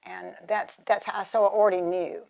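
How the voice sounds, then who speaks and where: Woman in her seventies or older, American accent, slightly low pitch, reflective testimony, articulate and formal. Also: flat delivery, moderate pace, vocal fry, darkish tone. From southern United States. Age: 70s or older. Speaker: speaker giving personal testimony. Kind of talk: reflective personal testimony, partly prepared.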